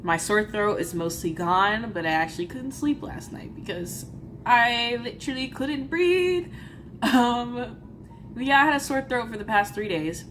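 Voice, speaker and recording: A woman's voice: fairly high pitch, unhurried, fairly bright tone, slight background noise. Stuffy-nosed woman chatting about crafts. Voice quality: nasal. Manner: chatty, playful and self-mocking. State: congested, stuffy-nosed from a cold. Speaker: crafting vlogger. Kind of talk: casual vlog chat and voice-over.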